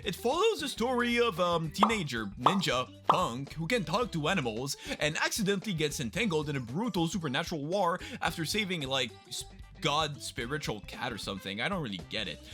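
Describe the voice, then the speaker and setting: Animated, sarcastic young man. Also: a little high, brisk, over music. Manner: sarcastic, mock-dramatic. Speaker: YouTube host. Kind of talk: comedic anime season commentary.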